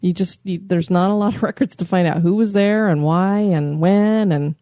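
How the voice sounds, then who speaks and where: A woman hosting a podcast in casual chat, chatty and quick to laugh. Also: lowish voice, dark tone, no room sound, studio quality. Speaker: podcast host.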